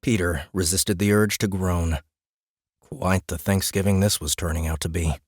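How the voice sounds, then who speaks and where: A man's voice: low voice, steady tone, normal speed, breathy edge, dry recording, clean studio sound. A man reading scripted fantasy fiction as an audiobook narrator, with a wry storytelling manner.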